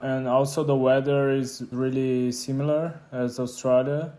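A man's voice: mid-range voice, level tone, a little slow, warm tone. A man in his twenties from Brazil with a Brazilian accent, casually telling his personal story as an interviewee. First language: Portuguese. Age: 20s. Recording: clear guitar music behind the voice. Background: guitar music.

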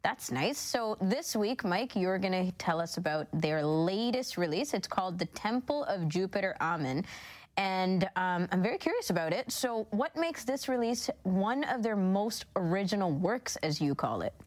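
A woman's voice: medium pitch, lively intonation, moderate pace. A woman co-hosting a talk show in casual, chatty style with frequent asides. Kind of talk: casual on-air chat.

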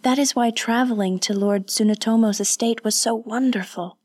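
Een vrouw die speelt een gretige jonge edelvrouw. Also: female voice, medium pitch, measured pace, darkish tone, no room sound, studio quality, voice pushed up, natural voice. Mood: eager. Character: sheltered young noblewoman, eager and curious.

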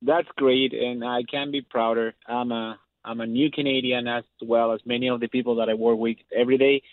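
A man from Chile calls in, earnest and measured, with a mid-low voice. He comes through a dry, tinny phone line. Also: little pitch movement, no echo. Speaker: caller. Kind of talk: casual phone chat. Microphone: telephone.

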